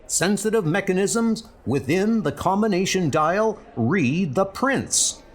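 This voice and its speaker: A man's voice: high voice, animated, slow, slightly rough, voice pushed up, heavily acted. A man voicing a self-important bank president with formal, speechifying delivery. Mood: proud.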